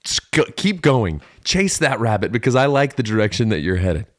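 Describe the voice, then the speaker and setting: A lively man co-hosting a comedy podcast, with pitch that swings around a lot. He tells jokey stories casually and leans on emphatic repetition. The sound is close and dry. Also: moderate pace, no echo. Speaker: podcast co-host.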